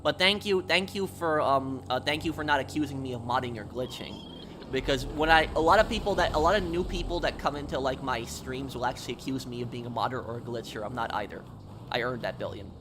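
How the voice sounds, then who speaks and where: A man with a mid-pitched voice talks casually on a gaming livestream over clear vehicle noise. He explains himself emphatically, often halting and circling back to repeat his point. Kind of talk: casual gaming stream chat, answering viewers.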